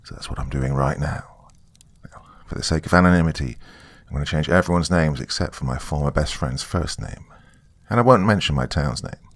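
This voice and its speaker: A man reading a scripted first-person horror story as its narrator, in a subdued, measured storytelling manner. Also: rumbling low, no echo.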